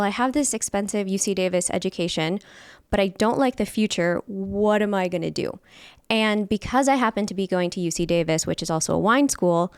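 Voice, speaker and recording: A woman's voice: mid-range voice, clean tone, no room sound, clean studio sound. A woman, a guest telling her story in a candid, chatty way. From California, USA. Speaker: guest, fermentation specialist. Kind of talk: casual interview chat.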